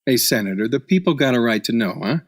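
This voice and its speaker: Man acting hard-boiled reporter, cocky, sardonic, slangy wisecracks, mid-pitched, radio play. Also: animated, fast talker, natural voice. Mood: wisecracking, breezy. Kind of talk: old-time detective radio play acting with narration.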